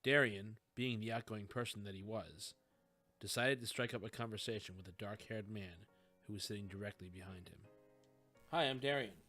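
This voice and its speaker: Bright-voiced man narrating a story over music. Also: fairly low pitch, fairly even intonation, fairly quick. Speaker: audiobook narrator. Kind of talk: scripted fiction narration.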